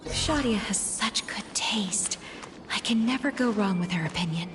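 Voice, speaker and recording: A townswoman played by a woman muses to herself in a hushed, medium-pitched voice. It is game acting, with clear ambient noise around her. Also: normal speed, close to an everyday voice. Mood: admiring, musing. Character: admiring townswoman musing to herself. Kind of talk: anime-style video game acting.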